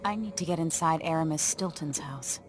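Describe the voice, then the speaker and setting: An actress playing a deposed young empress in a video game, resolute and guarded, speaking in a measured, cool way. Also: female voice, low-pitched, music in the background, close to an everyday voice. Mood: cool, firm.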